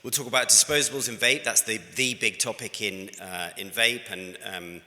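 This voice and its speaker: A man's voice: fairly low pitch, normal speed, sharp tone. A British man giving a conversational conference presentation, talking through slides with frequent fillers and restarts. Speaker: conference speaker. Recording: reverberant conference hall.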